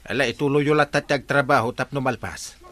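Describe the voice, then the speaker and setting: A man's voice: mid-range voice, steady tone, brisk, fairly bright tone, natural voice. A man acting an exasperated, scolding village captain in an Ilocano radio comedy drama, sputtering and stammering with an Ilocano accent.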